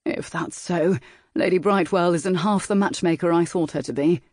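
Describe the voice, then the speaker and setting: A woman reading the lines of a gruff, sardonic young gentleman in an audiobook. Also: high voice, moderate pace, dry recording, studio quality. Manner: gruff, brusque.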